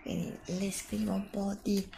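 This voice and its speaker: A middle-aged woman whispering softly in an ASMR roleplay as a nutritionist, giving instructions in a drawn-out way. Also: mid-range voice, level tone, gravelly, noisy background. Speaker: ASMR creator role-playing a nutritionist.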